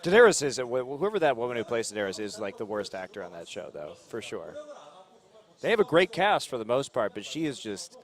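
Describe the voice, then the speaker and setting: A man's voice: medium pitch, big pitch swings, fairly quick. A man working as an esports commentator, making dry, sarcastic remarks in casual broadcast banter.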